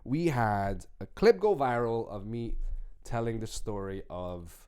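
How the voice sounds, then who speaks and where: A man's voice: lowish voice, lively intonation, measured pace, darkish tone, clean tone. A Romanian-born man co-hosting a comedy podcast, his accent a blend of Eastern European, London and a touch of Scottish. He rants in a heated way and swears freely. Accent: mix of Eastern European, London and a little Scottish. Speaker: podcast co-host. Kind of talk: casual comedy podcast chat.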